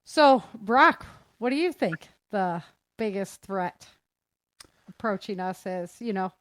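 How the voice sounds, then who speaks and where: A woman's voice: mid-range voice, lively intonation, slow, dry recording. A woman hosts a casual interview podcast. Her voice sits in a medium register, and she talks conversationally to guests. The sound is dry, with very little room in it. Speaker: podcast host.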